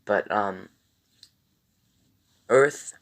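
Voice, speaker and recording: A man with a raspy voice casually recapping a TV episode as a reviewer, in a rambling, halting way. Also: fairly low pitch.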